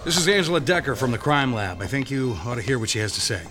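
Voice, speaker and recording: An actor playing a level-headed county detective, dry and matter-of-fact. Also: male voice, mid-range voice, big pitch swings, fast talker, pushed and bright.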